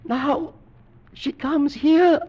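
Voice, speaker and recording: Slow, brooding mad aristocrat. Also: male voice, very high-pitched, fairly even intonation, dark tone, exaggerated voice. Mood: tormented madness. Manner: brooding, melodramatic, halting. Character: tormented, morbid aristocrat sliding into madness. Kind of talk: 1940s American radio drama acting. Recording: muffled old radio recording.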